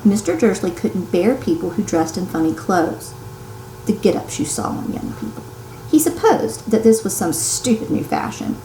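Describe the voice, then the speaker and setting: Expressive woman reading aloud, echoey room. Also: mid-range voice. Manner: dramatic pauses, emphatic stresses and hushed asides for suspense. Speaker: teacher reading a children's novel aloud. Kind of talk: expressive read-aloud storytelling. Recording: roomy echo, some background noise.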